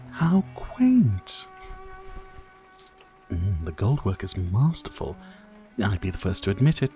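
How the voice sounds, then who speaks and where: A man's voice: mid-range voice, mellow, heavily acted. A man playing a sadistic, courtly vampire lord in an ASMR roleplay, speaking in a silky, formal, teasing and theatrical manner. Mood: teasing, curious. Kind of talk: ASMR roleplay, dramatic monologue to listener.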